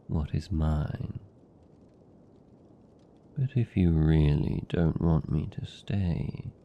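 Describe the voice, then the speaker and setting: Casting: male actor as lonely British vampire count for ASMR roleplay, very low and gravelly, tender but possessive. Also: muffled recording, a little hiss or hum, heavily acted. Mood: wistful, lonely. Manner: soft, slow, soothing, lingering pauses.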